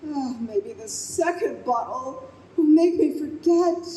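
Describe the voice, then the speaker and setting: A distraught young mother acted by a woman in an old-time radio play, very high in pitch and swooping widely. She draws her lines out with big emotion, in an echoey live room. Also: expressive, mellow. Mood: grief-stricken, drunk. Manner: dramatic, emotional, drawn-out delivery. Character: distraught young single mother, grieving, drinking, later doting on baby. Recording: live stage recording, echoey room, faint noise.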